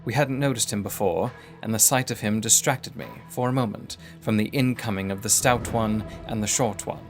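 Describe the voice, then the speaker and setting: A man narrating a first-person adventure story in a measured, formal, literary manner. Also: on the low side, soft background music.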